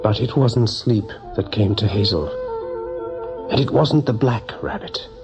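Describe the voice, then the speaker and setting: A man giving expressive, measured narration for a radio drama, with a husky voice. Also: fairly low pitch, lo-fi sound. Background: soft music.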